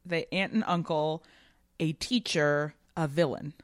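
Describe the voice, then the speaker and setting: A woman with a notably low voice speaks as a podcast co-host in a very dry acoustic. She reasons analytically and conversationally, thinking aloud with frequent "like" and checking in with "right?". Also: steady tone, a little slow, no room sound. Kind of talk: conversational literary discussion.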